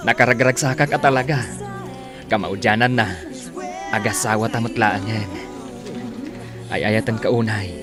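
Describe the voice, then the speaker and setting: A man's voice: on the low side, steady tone, unhurried, pauses often, natural voice. A man with an Ilocano accent playing an earnest, loving groom in a radio drama. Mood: devoted, earnest. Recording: radio drama with loud music bed.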